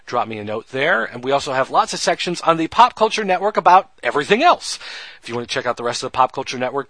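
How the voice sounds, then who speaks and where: Bright, rambling male podcast host. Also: on the high side, dry recording. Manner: rambling, self-mocking, joking asides. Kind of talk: casual podcast monologue reading listener emails.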